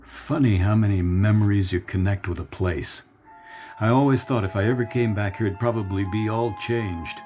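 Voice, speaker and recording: Man playing a low, wistful middle-aged businessman. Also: moderate pace, a little mellow, soft background music, natural voice. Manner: wistful, musing. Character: weary, nostalgic middle-aged New York businessman. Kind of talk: radio drama acting.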